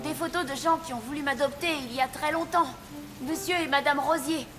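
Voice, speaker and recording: A woman's voice: sits high, brisk, natural voice. A woman voicing an eager, good-hearted young boy in a children's cartoon, speaking in an earnest, exclamatory way. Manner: bright-eyed, earnest, exclamatory.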